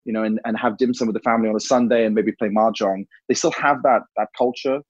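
A man's voice: on the low side, steady tone, quick pace, breathy edge, dry recording. Rambling man with a dull lo-fi sound. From Hong Kong. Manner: rambling, many "you know" fillers. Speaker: interview guest. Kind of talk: conversational interview answers.

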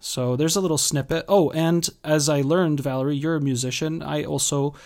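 A man's voice: fairly high pitch, fairly even intonation, normal speed, darkish tone. A man in his thirties from Massachusetts, a podcast guest talking casually about his upbringing, joking and filling his speech with "uh" and "you know". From Massachusetts, USA. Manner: chatty, joking, many fillers and restarts. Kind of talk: casual interview talk.

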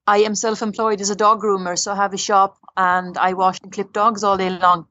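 A woman who grew up in Sweden, answering interview questions in a plain, steady, matter-of-fact way. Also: mid-range voice, brisk, no echo, dull audio. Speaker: dog groomer and volunteer medical courier rider.

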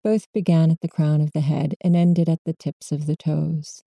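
A woman leading a guided meditation, giving gentle, measured instructions as a meditation teacher. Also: deep voice, flat delivery, creaky, husky, no echo.

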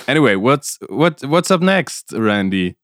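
A man's voice: medium pitch, sing-song, unhurried, dry recording, clean studio sound. A man co-hosting a music podcast, speaking casually, hesitating and repeating words, often laughing. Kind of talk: casual chat.

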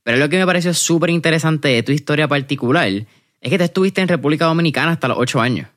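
A man's voice: a little high, lively intonation, fast, a bit bright. A man with a Puerto Rican accent hosting an interview podcast, slangy and friendly, with fillers. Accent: Puerto Rican Spanish. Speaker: podcast host.

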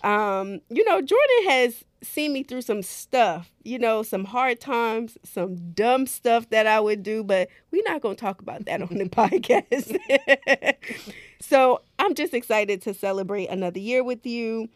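A woman co-hosting a podcast in casual chat, lively and talkative, laughing often. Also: a little high, a little slow, dry recording, studio quality. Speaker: podcast co-host.

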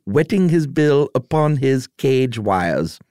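A man voicing a smug, fussy courtier in a high, prim delivery. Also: sing-song, slow, darkish tone, dry recording, clean studio sound.

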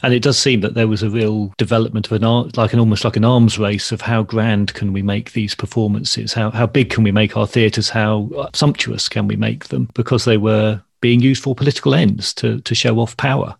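A man hosting an interview podcast, summarising and responding to his guest in a measured, appreciative conversational way. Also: fairly low pitch, steady tone, vocal fry, breathy edge, no echo, studio quality. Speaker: podcast host.

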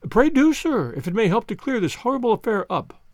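A man voicing an anxious young gentlewoman who pleads earnestly in polite period English for an audiobook. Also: very high-pitched, sing-song, a little fast, dry recording, voice pushed up. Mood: earnest, hopeful.

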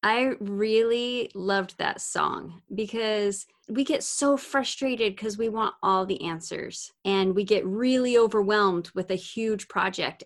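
A woman hosting a casual faith discussion, talking in an animated way and asking questions. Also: mid-range voice.

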